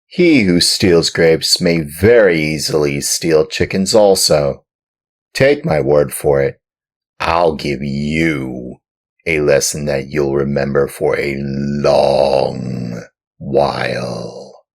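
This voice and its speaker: A man voicing a rough, angry farmer in a storybook reading, speaking loudly, gruffly and threateningly. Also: low voice, animated, takes it slowly.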